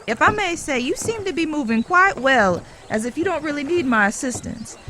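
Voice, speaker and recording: An actress playing a polite, playfully coy young working woman in an Old West audio drama, speaking in a genteel, measured way. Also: sits high, expressive, pushed and bright, a little hiss or hum. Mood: impressed, coy.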